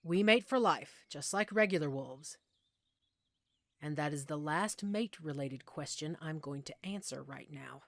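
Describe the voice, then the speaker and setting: A woman playing a middle-aged, self-assured werewolf pack alpha in an intimate audio roleplay, explaining things conversationally with measured pauses. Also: deep voice, fairly bright tone, dry recording, heavily acted. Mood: subdued, guarded.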